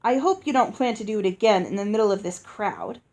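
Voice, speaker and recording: A woman voicing a stern, disapproving gentleman fairy in a storybook, with a clipped, reproachful manner. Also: mid-range voice, lively intonation, a little fast, lightly acted. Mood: exasperated. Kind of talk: acted children's storybook reading.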